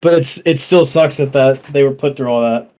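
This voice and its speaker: Young adult podcaster with a middling pitch, speaking informally and swearing freely in loose discussion. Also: normal speed, a little mellow.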